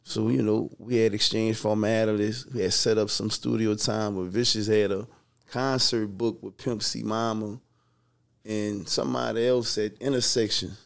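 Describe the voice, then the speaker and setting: Man, low voice, relaxed slangy storytelling, label boss guest. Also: moderate pace, creaky. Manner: laid-back street slang, storytelling. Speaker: record label co-founder, guest. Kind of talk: casual interview chat.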